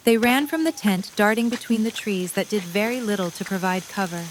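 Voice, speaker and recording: A woman's voice: mid-range voice, brisk, poor audio. Woman narrating a story over rain. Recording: clear rain noise behind. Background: rain ambience.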